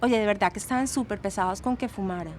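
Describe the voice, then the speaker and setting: An actress playing a chatty former student with a Latin American Spanish accent, giving gossipy, fondly exasperated testimony in an audio drama. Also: female voice, mid-range voice, fast talker, darkish tone, slight background noise, close to an everyday voice. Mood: animated recounting. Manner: gossipy, emphatic, sprinkles in English words.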